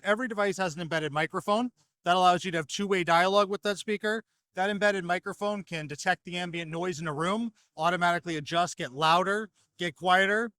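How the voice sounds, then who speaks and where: A man with a fairly high voice explains products in an articulate, orderly way. The sound is dry and close, like a company representative being interviewed. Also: sharp tone, no room sound. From North America. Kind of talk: fluent product explanation at a trade show. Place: trade show exhibition hall.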